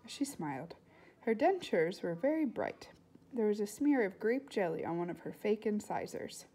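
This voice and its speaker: A woman reading a storybook aloud as an expressive narrator. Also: mid-range voice, normal speed, husky.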